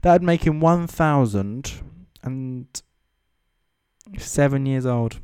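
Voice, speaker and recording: A man's voice: mid-range voice, slow, warm tone, smooth, dry recording, clean studio sound. A man giving casual, off-the-cuff comedic commentary as a host, with sarcastic, mocking asides. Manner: sweary. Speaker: comedy host reading social media comments.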